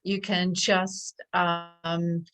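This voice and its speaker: A woman's voice: on the low side, little pitch movement, extremely slow, a bit bright. A woman hosting an online science talk over a video call, speaking casually and hesitantly, with many fillers. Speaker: host of online science event. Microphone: computer mic on a video call.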